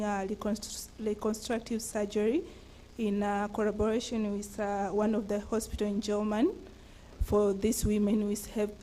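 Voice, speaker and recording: A woman speaks from the audience at a panel, hesitant and full of fillers. Her delivery is flat and even, with a mellow, warm tone. Faint room noise sits behind her. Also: mid-range voice, low-quality recording. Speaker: hospital representative, audience participant. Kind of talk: comment from the floor. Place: conference hall.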